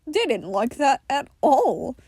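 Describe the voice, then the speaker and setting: Woman playing a cheeky, flippant man, high-pitched. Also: very animated, slow, a little mellow, no room sound, clean studio sound, full character voice. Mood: surprised, speculating. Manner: teasing, flippant. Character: cheeky, obnoxious, joking man.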